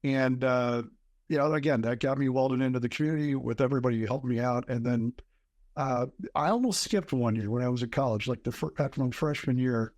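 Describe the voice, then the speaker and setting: Man, 50s, from southern Wisconsin, interview guest, rambling storyteller, mid pitch, dry sound. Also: a little fast, darkish tone, no room sound. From southern Wisconsin, USA. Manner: rambling storyteller with many fillers. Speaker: guest, author and game designer. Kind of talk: casual interview chat.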